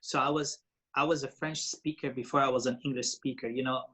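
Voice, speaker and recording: A man's voice: mid-range voice, steady tone, fairly quick, bright, forceful tone, husky. A man in his forties who grew up in Lebanon and speaks Arabic as his first language. He is a radio presenter and TV host, telling stories as an interview guest in a rambling way full of fillers and restarts. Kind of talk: interview guest, casual storytelling.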